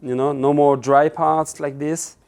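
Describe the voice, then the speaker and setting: A man with a French accent, a native French speaker from France, giving a relaxed, informal step-by-step cooking demonstration as an instructor in a kitchen. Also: a little high, a little slow, smooth. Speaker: pizza-making instructor. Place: indoor kitchen with stone counter.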